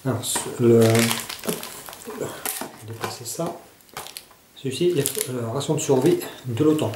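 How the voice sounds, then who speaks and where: A man's voice: medium pitch, normal speed, dark tone, a little hiss or hum. A man casually reviewing survival gear to camera. He often hesitates and searches for his words. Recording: echoey room.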